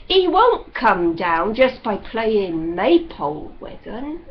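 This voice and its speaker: Woman acting a brash Cornish country housekeeper, swooping pitch. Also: very animated, slow. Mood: bossy, shouting. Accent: West Country. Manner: rustic, loud, complaining. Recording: dull lo-fi audio with light noise.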